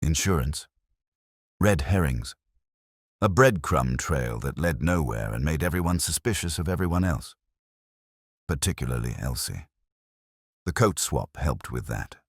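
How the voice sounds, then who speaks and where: A man playing a quiet, shrewd police inspector with a measured, probing manner in an audio mystery story. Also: very deep voice, expressive, no echo, studio quality, full character voice. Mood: assured.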